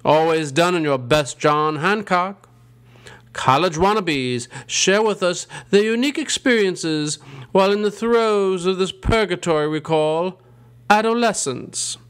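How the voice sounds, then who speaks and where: A man playing a ghoulish, gleefully punning college dean who hosts a campy horror show with theatrical, drawn-out delivery. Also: high voice, smooth. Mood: gleefully macabre.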